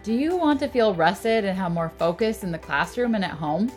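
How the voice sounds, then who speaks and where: A woman's voice: medium pitch, lively intonation, normal speed, darkish tone, faint music behind. A woman co-host reading an upbeat scripted podcast intro. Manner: upbeat, reading questions to listeners.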